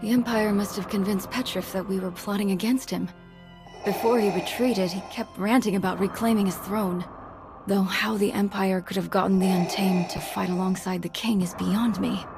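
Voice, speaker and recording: An actress playing a grave, gracious senator, speaking formally and in a measured, earnest way. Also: female voice, medium pitch, fairly even intonation, creaky, lightly acted. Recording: game music behind the voice.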